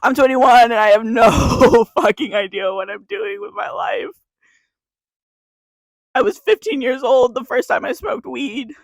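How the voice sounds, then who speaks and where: A woman's voice: a little high, lively intonation, measured pace, no room sound, poor audio. Crying 21-year-old woman reciting a poem. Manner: earnest, confessional, repeating a refrain. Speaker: YouTuber. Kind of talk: spoken-word poem to camera.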